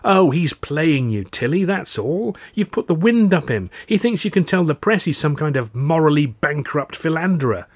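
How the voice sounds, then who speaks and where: Man acting an agitated husband, high and husky. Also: big pitch swings, moderate pace, few pauses, no echo. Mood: suspicious, angry. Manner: agitated, emphatic, blustering. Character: resentful, excitable, lovestruck husband, hot-tempered and flustered. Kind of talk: acted audiobook dialogue.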